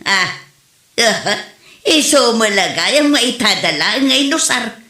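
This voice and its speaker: Woman acting as nosy neighbour, Ilocano accent, comedy drama, highish lively voice, roomy sound. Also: slow, exaggerated voice. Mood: nosy, surprised.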